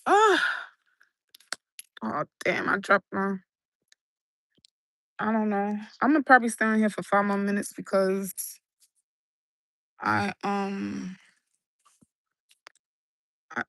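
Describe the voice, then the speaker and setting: A woman with a New York accent, chatting casually and excitably on a live stream from a city rooftop, talking into her phone. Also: medium pitch, slow pace, pauses often, dry recording. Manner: chatty, swearing, rambling and excitable. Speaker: livestreamer. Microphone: handheld phone.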